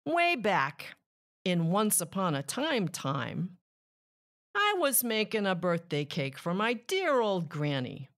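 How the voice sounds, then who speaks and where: A woman voicing a chatty male wolf who slyly pleads innocence, with expressive, drawn-out emphasis in a children's storybook reading. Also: mid-range voice, exaggerated voice. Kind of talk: children's picture book storytelling.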